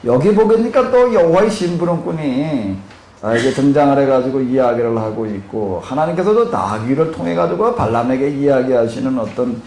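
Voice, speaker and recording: Male pastor, Jeolla accent, rambling lecture style with questions to the audience; gravelly, slightly high voice, echoey room. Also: expressive, normal speed, darkish tone. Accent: Korean Jeolla dialect. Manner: rambling, many fillers, asks the audience questions. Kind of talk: Bible seminar lecture. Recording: echoey room with faint noise.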